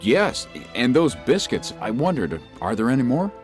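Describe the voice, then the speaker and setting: An actor playing a young lawyer who narrates a ghost story in a measured way. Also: male voice, mid-range voice, sing-song, faint music behind, natural voice. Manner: measured, tells the story with rising unease. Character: young male lawyer recuperating in the country. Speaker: narrator and lead.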